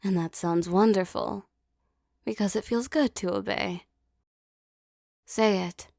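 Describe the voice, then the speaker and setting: Slow, gravelly-voiced commanding woman. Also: mid-range voice, no echo, clean studio sound. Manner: slow, soft, commanding and teasing. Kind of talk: scripted hypnosis roleplay audio.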